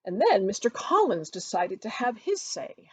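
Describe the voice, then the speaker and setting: A woman reading her novel aloud as expressive storybook narration, with dramatic, emphatic phrasing. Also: medium pitch, moderate pace, husky, no echo. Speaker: author reading from their own novel.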